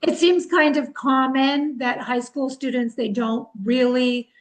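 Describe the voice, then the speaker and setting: Female podcast host, slightly high voice, conversational interviewer's delivery. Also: steady tone, unhurried.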